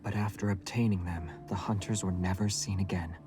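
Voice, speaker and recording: An actor voicing a mysterious, calm male loner in a game, measured, hushed and ominous. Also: low-pitched, lightly acted. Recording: game audio with loud music.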